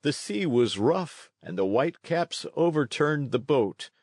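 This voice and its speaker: A man voicing a weary, gentle old father with a measured, tender delivery in a storybook read-along. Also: medium pitch, expressive, no room sound, clean studio sound. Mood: sorrowful recounting. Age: older.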